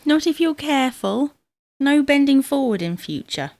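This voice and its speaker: An actress playing a bossy, exasperated, teasing wife in a domestic comedy audio drama, brisk and dismissive. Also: female voice, high-pitched, lively intonation, darkish tone, no room sound.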